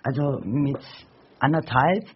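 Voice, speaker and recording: A chatty woman in her forties telling family stories at length, often pausing and restarting mid-thought. The recording is dull and lo-fi, with a faint hiss underneath. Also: extremely low pitch. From Germany. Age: 40s. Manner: chatty, full of fillers and restarts while telling anecdotes. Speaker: studio guest. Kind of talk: casual storytelling chat.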